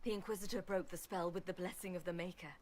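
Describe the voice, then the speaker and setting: A woman's voice: lowish voice, fairly even intonation, fast, lightly acted. Woman playing a pragmatic warrior, faint ambience. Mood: grim, urgent. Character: battle-worn, wry, pragmatic female champion adventurer. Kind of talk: video game cutscene acting. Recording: slight background ambience noise.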